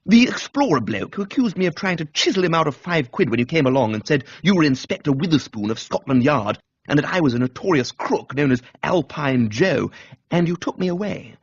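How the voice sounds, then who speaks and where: A man voicing a cheerful, dim, excitable young upper-class English gentleman in a comic radio reading, chatty and slangy. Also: fairly high pitch, fairly quick, breathy edge, dry recording, highs cut off, voice pushed up, heavily acted.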